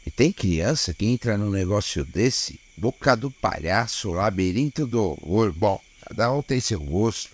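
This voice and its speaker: Audio drama cop played by a man: Brazilian, weary and dogged, lowish voice, noisy ambience. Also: expressive, a little slow, few pauses. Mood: suspicious, muttering. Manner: colloquial, grumbling. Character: weary, grumbling, dogged small-town policeman on night shift. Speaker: voice actor.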